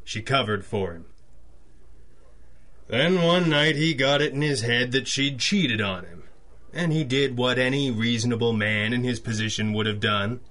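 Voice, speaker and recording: A man playing a gruff, jaded, sardonic police lieutenant in an old-school radio detective drama, speaking curtly. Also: mid-range voice, measured pace, fairly bright tone, close to an everyday voice. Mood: grim, bitter. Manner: curt, sardonic.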